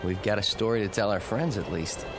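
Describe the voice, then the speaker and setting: A man's voice: lowish voice, brisk, music in the background, natural voice. Actor as breezy, wisecracking reassuring everyman. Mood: teasing. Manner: wry, confident, casual. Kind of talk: radio drama acting.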